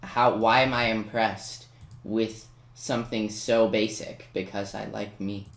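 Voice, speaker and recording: A man's voice: on the low side, level tone, unhurried, gravelly, a bit bright. A man giving casual, self-mocking and playfully boastful commentary over his own gameplay. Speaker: gaming video maker. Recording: roomy, noisy home recording with keyboard clicks.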